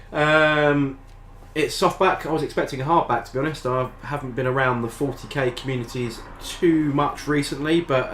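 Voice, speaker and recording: A man's voice: mid-range voice, fairly bright tone, slight background noise. An older British man with a stuffy, nasal head cold, casually talking through a game book review, rambling with lots of ums and uhs. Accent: British English. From Britain. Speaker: tabletop gaming channel host. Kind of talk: casual review talk to camera.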